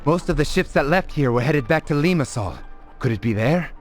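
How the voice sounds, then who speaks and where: Guy doing eager earnest freedom fighter in a game, highish voice, swingy pitch, music behind. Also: lively intonation, brisk, close to an everyday voice. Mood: hopeful. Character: eager, hopeful, loyal male resistance fighter.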